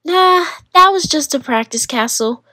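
A self-important young boy from a children's storybook, played by a woman reading aloud. The voice is high and lively, with emphatic, bossy delivery and a dry, close sound. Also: unhurried, no room sound, exaggerated voice. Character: confident, bossy young boy building sandcastles.

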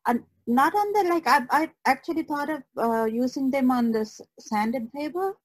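A woman's voice: high voice, expressive, moderate pace, no room sound. A woman guest artist chatting casually on a podcast. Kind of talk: casual chat.

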